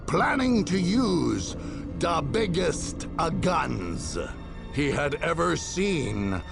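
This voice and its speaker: A grave war chronicler narrating a video game. The voice is raspy and full of fry, delivered slowly with theatrical weight. It is recorded close and dry over faint music. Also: male voice, on the high side, very animated, exaggerated voice. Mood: grave, ominous. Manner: deliberate, theatrical emphasis. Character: grave, dramatic storyteller chronicling a far-future war. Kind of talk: dramatic scripted game narration.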